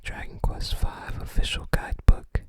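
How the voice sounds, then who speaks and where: A person whispering softly and breathily in an unhurried, musing ASMR show-and-tell. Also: dry recording. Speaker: ASMR video creator.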